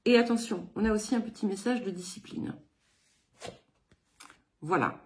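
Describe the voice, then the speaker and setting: A woman from England giving a card reading in French with a slight English accent, speaking in an unhurried, hesitant way with many pauses. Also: medium pitch, slight background noise. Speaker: card reader giving a weekly guidance. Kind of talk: slow spoken tarot-style reading.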